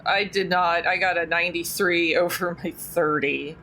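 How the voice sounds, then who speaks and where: A woman's voice: fairly low pitch, steady tone, normal speed, pushed and bright, a little hiss or hum, poor audio. A woman chatting casually as a player in a tabletop game, breezy and joking.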